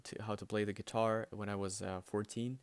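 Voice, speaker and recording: A man's voice: fairly low pitch, little pitch movement, fairly quick, clean tone, no room sound. A young man, a native Russian speaker with a light Russian accent, answering viewer questions in a casual, hesitant way with many fillers and restarts. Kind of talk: casual spoken Q&A.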